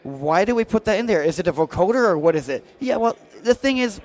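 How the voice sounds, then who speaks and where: A man's voice: high voice, expressive, fast talker. A man giving a casual, chatty product demo in a jokey, slangy way. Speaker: product demonstrator at a music trade show.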